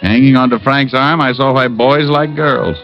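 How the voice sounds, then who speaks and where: A man's voice: medium pitch, moderate pace, natural voice. Wisecracking private eye male actor for vintage radio drama: dry first-person narration, muffled sound, music underneath. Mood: wry. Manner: dry, wry asides. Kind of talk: 1940s-50s radio detective acting and first-person narration. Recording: muffled old radio recording, music behind.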